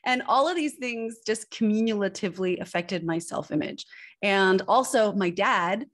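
A woman's voice: medium pitch, moderate pace, fairly bright tone. American woman candidly telling her story. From Northern California, United States. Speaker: guest, personal branding image expert. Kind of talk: conversational interview, personal storytelling. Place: indoor room at home. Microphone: video call microphone.

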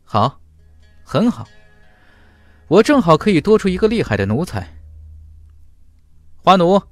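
A man's voice: sits high, big pitch swings, unhurried, no room sound, pitched above the performer's own voice, heavily acted. Man playing an imperious, mocking young master. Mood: amused, commanding. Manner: imperious, taunting. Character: arrogant, mocking young male master fighter. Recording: background music under the voice.

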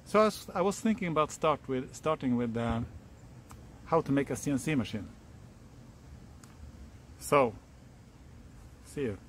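A man in his forties from Norway, speaking English with a Norwegian accent, explaining a hobby project in a halting, self-correcting way. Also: mid-range voice, animated, slight background noise. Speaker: hobbyist YouTuber. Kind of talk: casual DIY explainer. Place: outdoors on a hilltop, then indoors at a workbench.

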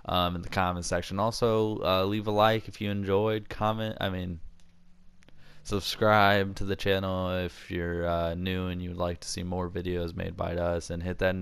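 A man's voice: sits low, flat delivery, normal speed, creaky, a bit bright, clear, resonant tone. A young man hosting a casual storytelling vlog, rambling and often interrupting himself mid-thought. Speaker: video channel host.

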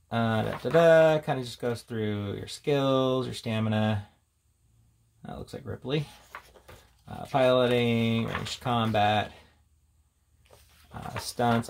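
A man's voice: mid-range voice, unhurried, vocal fry, pauses often, slight background noise. A man giving a casual, unscripted, rambling commentary as a tabletop game reviewer, reading out headings between offhand remarks.

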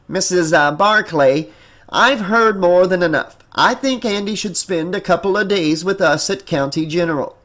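A narrator plays a male hospital psychologist in an audiobook. He speaks in a formal, measured way, apologetic yet insistent, in a somewhat high male voice. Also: fairly bright tone. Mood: firm. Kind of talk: audiobook character acting.

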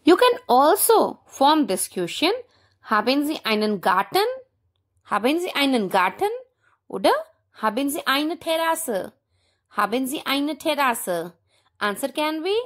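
Indian lady teaching language phrases, highish voice, really animated intonation, says examples deliberately. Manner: instructional, deliberate. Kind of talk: language lesson with slowly repeated example sentences.

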